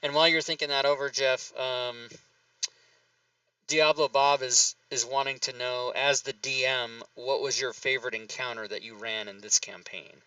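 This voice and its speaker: A man's voice: mid-range voice, fairly even intonation, moderate pace, sharp tone, clear, resonant tone, no room sound, distant mic, low-quality recording. A middle-aged man hosting a livestream, thanking followers by name and passing viewer questions to his guest. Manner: friendly, reads out viewer names and thanks followers. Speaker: host.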